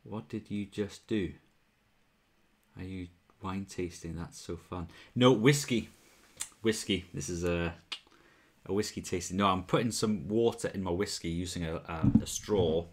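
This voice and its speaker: A young Welsh man with a deep, low voice hosts a relaxed home livestream. He talks easily and answers viewers' comments as they come in, speaking into a wired earphone mic in a small room. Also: measured pace. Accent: mild Welsh. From Wales. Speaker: whisky livestream host. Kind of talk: casual livestream chat. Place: small room at home, bottles on a shelf behind.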